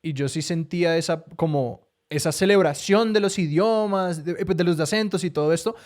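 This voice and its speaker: Soft-spoken man, slightly high voice. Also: normal speed. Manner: thoughtful, many fillers, hesitant restarts. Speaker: podcast co-host. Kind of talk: casual conversation.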